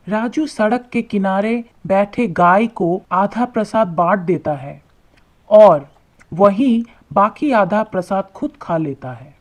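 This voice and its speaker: A woman narrates an animated moral tale. Her voice sits at a middle pitch, and she goes slowly, setting out each phrase deliberately. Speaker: story narrator. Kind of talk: scripted storytelling narration for animated moral tales.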